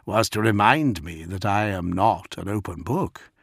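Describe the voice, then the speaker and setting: A man voicing a noble, stern warlord in an audiobook, formal, measured and commanding. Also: lowish voice, expressive, gravelly, slightly rough, no echo, studio quality, full character voice. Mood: earnest. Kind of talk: dramatic audiobook character.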